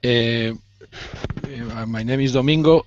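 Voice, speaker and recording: A man's voice: mid-range voice, slow, muffled recording, a little hiss or hum. A man in his fifties from Spain, speaking English with a Spanish accent, hesitant and searching for words. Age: 50s. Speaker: student.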